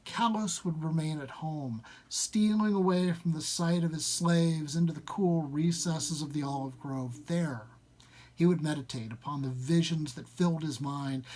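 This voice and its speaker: A man's voice: a little high, darkish tone. A man speaking as a live reader, moving between informal introduction and literary story reading.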